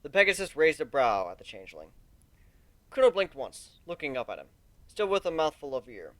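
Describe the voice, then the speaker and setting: Animated man narrating a story. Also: a little high, fairly quick, no room sound. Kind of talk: dramatic reading of a fan fiction story.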